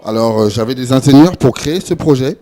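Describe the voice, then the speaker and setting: A young man from France speaking on a discussion panel, halting and full of hesitations and repeated words. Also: mid-range voice, expressive, warm tone. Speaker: panel speaker, event organizer.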